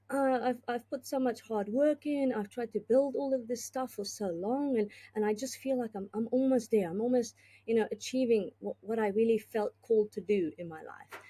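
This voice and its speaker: A woman's voice: mid-range voice, warm tone. A woman from South Africa, with a South African accent touched by Australian, talking earnestly and reflectively as a podcast guest, with many fillers and restarts. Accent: South African with some Australian. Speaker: podcast guest, tech executive. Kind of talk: conversational interview.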